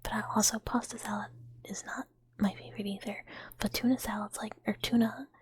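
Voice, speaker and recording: A woman's voice: mid-range voice, fairly even intonation, fairly quick, breathy edge, no echo. A woman whispering through a rambling, playful ASMR chat with viewers, full of fillers. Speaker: ASMR streamer.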